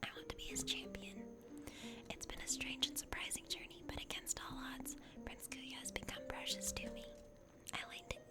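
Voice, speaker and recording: A woman whispers her way through a game story as an ASMR host. She is gentle and playful, and music sits prominently behind her voice. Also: moderate pace. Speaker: host of an ASMR let's play.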